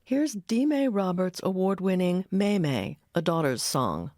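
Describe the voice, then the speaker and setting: A woman's voice: on the low side, sing-song, measured pace, darkish tone, slight background noise. A woman delivering scripted narration as the narrator of a documentary.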